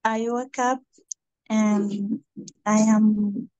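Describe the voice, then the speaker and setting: A woman from Somalia with a Somali accent, a beginner learner answering test questions in halting English. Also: mid-range voice, level tone, mellow, dry recording, dull audio. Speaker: student candidate.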